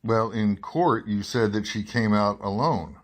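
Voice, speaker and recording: A man's voice: lowish voice, measured pace. A man acting in a radio drama as a wry, skeptical investigative reporter, both speaking in scenes and narrating. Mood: probing, wry. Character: wry, probing male investigative newspaper reporter.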